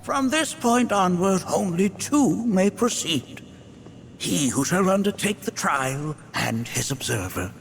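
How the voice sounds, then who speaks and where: An actor playing a wise, impish old village elder in a video game, with a grandiose, formal way of talking. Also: male voice, sits high, very animated, takes it slowly, gravelly, exaggerated voice. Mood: solemn. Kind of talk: video game cutscene acting. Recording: loud music behind.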